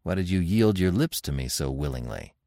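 A man playing a fierce, proud barbarian warrior chief in an audiobook adventure, gruff, growling and sardonic. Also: deep voice, lively intonation, a little fast, warm tone, no room sound, studio quality. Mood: bold, demanding.

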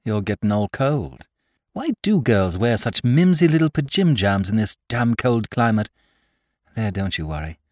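A guy doing a chatty toff, jolly and glib, lively bouncy delivery, dry close recording. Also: on the low side, normal speed, no echo. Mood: breezy. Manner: chummy, slangy chatter. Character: breezy upper-class English gentleman, jovial and glib.

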